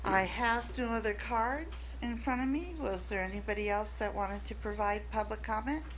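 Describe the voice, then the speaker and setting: A woman's voice: mid-range voice, normal speed, slight background noise, low-quality recording. A woman chairing a school board meeting, formal and procedural as she moves through the agenda at a table microphone.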